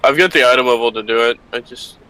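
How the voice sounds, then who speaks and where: A man's voice: medium pitch, quick pace, bright, forceful tone, clear, resonant tone, low-quality recording. A man chatting casually with friends on a gaming voice chat. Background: game music.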